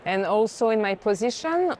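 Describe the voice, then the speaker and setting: A woman's voice: mid-range voice, animated, normal speed, a little mellow. A woman speaking as a senior curator in reflective, conversational commentary on art. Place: indoor art fair. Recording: faint fair background noise.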